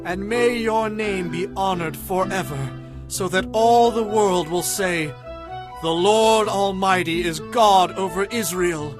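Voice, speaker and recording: Music plays behind a devout king with a high voice. He prays humbly and earnestly, drawing out his phrases with reverent awe in an audio Bible drama. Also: takes it slowly. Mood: reverent pleading.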